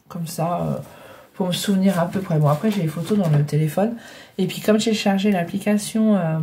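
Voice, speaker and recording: A woman's voice: fairly low pitch, mellow. A middle-aged woman with a cold and a blocked nose, chatting casually to viewers about her scrapbooking, hesitant and full of fillers. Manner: hesitant, many fillers and restarts. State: congested with a cold, breathing heavily. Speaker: scrapbooking vlogger. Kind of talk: casual rambling chat to viewers. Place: home craft room.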